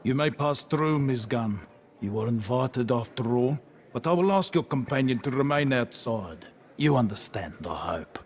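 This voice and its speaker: A smooth, wealthy crime boss from a video game, acted by a man, with a medium-pitched voice. He speaks in a measured, haughty way, with false courtesy over cool menace. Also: lively intonation, a little mellow, natural voice. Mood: suspicious, haughty. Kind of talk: video game villain acting.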